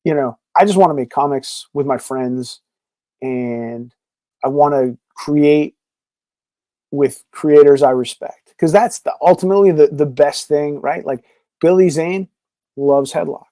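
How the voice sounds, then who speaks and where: Rambling man guest with a mellow voice. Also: fairly high pitch, unhurried, no room sound. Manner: rambling, lots of fillers. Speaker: podcast guest, comic creator. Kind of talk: casual interview chat.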